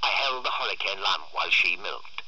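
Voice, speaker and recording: A man reading a literary short story aloud in a theatrical, expressive way. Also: low voice, moderate pace, husky, no room sound, muffled recording. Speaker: story reader.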